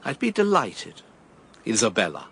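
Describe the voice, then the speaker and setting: A man's voice: lowish voice, wildly swooping pitch, normal speed, vocal fry, fairly bright tone, husky, heavily acted. Melancholy, reserved Englishman. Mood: subdued. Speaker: actor in radio drama. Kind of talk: radio drama acting.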